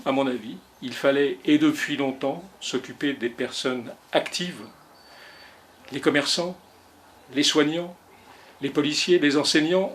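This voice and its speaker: A man's voice: on the high side, fairly bright tone, slight background noise. A man, a doctor, giving a firm, emphatic interview answer about vaccination.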